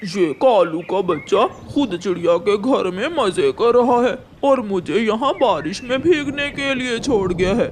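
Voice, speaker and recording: A greedy, boastful cartoon crow, acted by a woman, wheedling and exclamatory. It speaks slowly, with some noise behind it. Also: mid-range voice, expressive, a little mellow, close to an everyday voice. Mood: grumbling. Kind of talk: Hindi cartoon villain dialogue.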